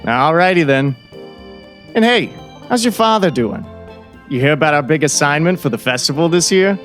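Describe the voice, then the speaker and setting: A man's voice: a little high, expressive, moderate pace, a bit bright, soft background music. A man playing a chatty, nosy small-town shopkeeper in an audio drama, with a folksy, overfriendly salesman's manner. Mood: cheerful, chatty. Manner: overly forthright, folksy, salesman-like.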